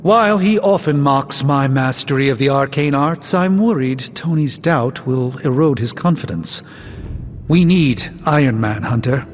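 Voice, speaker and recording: A man's voice: medium pitch, lively intonation, a little slow, a little hiss or hum, lightly acted. A man voicing a solemn, erudite sorcerer superhero in a video game, with formal, theatrical diction. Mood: grave, worried. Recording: lo-fi game audio under loud music.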